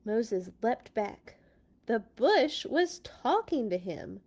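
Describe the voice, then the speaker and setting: A woman hosting a children's read-along, reading storybook text with deliberate dramatic emphasis and dropping into casual asides. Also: fairly high pitch, sing-song, a little mellow. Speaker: children's read-along host and Bible school teacher.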